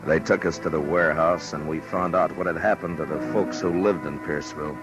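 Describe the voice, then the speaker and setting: Grave, very deep-voiced Western lawman. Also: steady tone, lo-fi sound. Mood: grim. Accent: American. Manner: grave, measured, terse. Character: stern, steady frontier US marshal narrating his story. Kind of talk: 1950s Western radio drama acting and narration. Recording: old radio recording, music behind.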